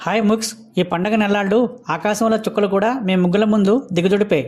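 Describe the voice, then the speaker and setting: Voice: mid-range voice, steady tone, quick pace, darkish tone, lightly acted. An actor voicing a cocky, chatty young rooster who hosts festival interviews in a comic Telugu cartoon, boastful and slangy.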